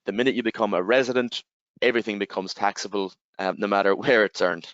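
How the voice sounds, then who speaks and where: Irish man, low smooth voice. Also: quick pace, no room sound. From Ireland. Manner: steady explaining with frequent "again" and "um". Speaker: tax webinar presenter. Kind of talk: explanatory webinar presentation. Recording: slightly dull, dry webinar audio.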